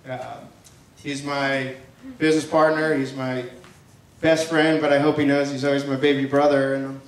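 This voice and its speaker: A young man giving an informal, joking best man's wedding speech in a halting, affectionate, teasing way over a PA in a tent. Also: medium pitch, flat delivery. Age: young adult. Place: large wedding reception tent at night. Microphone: handheld PA mic, picked up by distant camera. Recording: distant echoey PA sound, noisy guest-filled tent. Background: noisy wedding guests.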